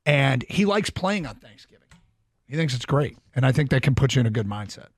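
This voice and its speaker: A man co-hosting a sports radio show, chatting casually and rattling off injury reports briskly. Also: medium pitch, sing-song, slightly rough, dry recording, clean studio sound. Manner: brisk, reads lists quickly. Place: radio studio.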